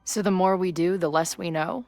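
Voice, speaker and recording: A woman's voice: on the low side, steady tone, normal speed, darkish tone, dry recording, faint music behind, natural voice. Brash, sardonic young punk woman, played by an actress. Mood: sardonic, defiant. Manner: blunt, sarcastic, slangy. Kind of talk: naturalistic video game drama acting.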